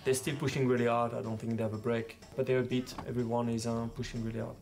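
A man answering interview questions in a measured way, with some restarts. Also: mid-range voice, flat delivery, darkish tone.

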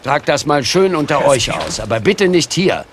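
A man's voice: on the high side, sing-song, normal speed, a crowd in the background. A man playing a good-natured, matter-of-fact casting show official in an audio drama. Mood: brisk, friendly.

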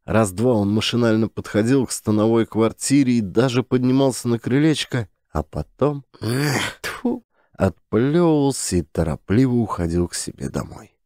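A man narrating a classic story as an audiobook, in a measured storytelling manner. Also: mid-range voice, expressive, darkish tone, dry recording, clean studio sound.

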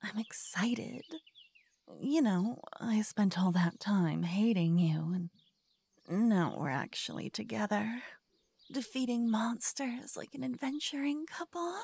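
A woman voicing a cocky, playful lover who whispers and teases softly in an ASMR roleplay. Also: mid-range voice, takes it slowly, gravelly, breathy edge, dry recording. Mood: playful teasing. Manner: playful, teasing, soft whispering. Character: cocky playful young woman, a devoted lover waking her partner. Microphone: binaural mic.

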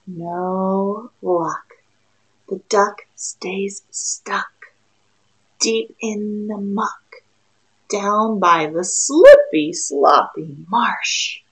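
A woman's voice: medium pitch, lively intonation, very slow, poor audio. A woman in her thirties, a preschool teacher, reading a picture book aloud expressively and in a sing-song way to young children. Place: small room indoors.

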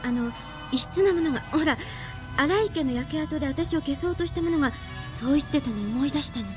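High-pitched, earnest young heroine played by a woman. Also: a little fast, music in the background, natural voice. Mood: pensive. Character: bright, thoughtful young heroine of a sci-fi comedy. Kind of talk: Japanese radio drama acting.

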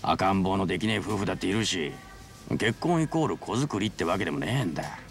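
An actor playing a gruff, plain-spoken man of the world, advising in rough, measured speech in a game. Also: deep voice, expressive. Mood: sober, advising. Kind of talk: dramatic Japanese video game voice acting.

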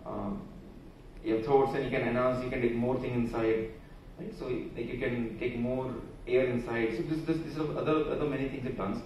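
A man's voice: medium pitch, darkish tone, slight background noise. A man with an Indian English accent giving a conference presentation at a podium, talking loosely with frequent restarts and fillers. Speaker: conference speaker. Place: large conference hall. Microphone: podium microphone, recorded from far camera. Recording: echoey hall from far off.

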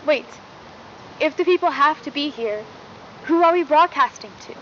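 A young woman playing a perky, devoted high school news co-host in a 1990s horror broadcast, with bubbly, gushing delivery. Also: animated, normal speed, a bit bright. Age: young adult. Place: outdoors in a forest at night. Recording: dull lo-fi VHS-style audio, faint noise.

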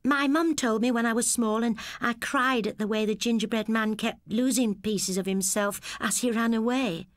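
A woman's voice: on the high side, moderate pace, hardly any pauses, no echo, pitched above the performer's own voice, lightly acted. A woman voicing a tender-hearted, wistful young English girl in a storybook tale. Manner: wistful, expressive.

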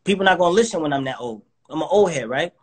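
A man's voice: on the high side, animated, quick pace, breathy edge. A man in his thirties talking in a confident, motivational, somewhat preachy way as a guest in a casual interview, speaking from a living room over a phone or webcam video call. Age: 30s. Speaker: guest artist. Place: indoors, living room with couch.

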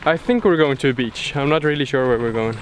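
A young man narrating his sailing trip and walking a forest trail with a camera at arm's length, relaxed and easygoing. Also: medium pitch, quick pace, slight background noise. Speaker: sailing vlogger. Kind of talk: casual travel narration. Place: outdoors on a tropical island, forest trail. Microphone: handheld action camera at arm's length.

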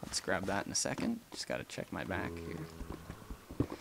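A man's voice: sits low, big pitch swings, unhurried, gravelly, background noise. A young man casually chatting and rambling through a let's play, with frequent ums and small laughs. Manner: rambling and chatty, many ums, gasps and laughs while playing. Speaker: gaming channel host.

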